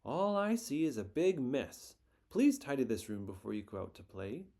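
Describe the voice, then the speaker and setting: Man voicing turtle mother, storybook, patient, gentle, soft warm tone. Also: medium pitch, sing-song, normal speed.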